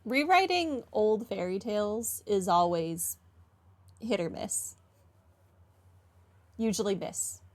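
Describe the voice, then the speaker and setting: A woman's voice: medium pitch, expressive, takes it slowly, pauses often. A young woman doing casual, informal gameplay commentary and reading game text aloud in a chatty way. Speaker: gaming playthrough streamer.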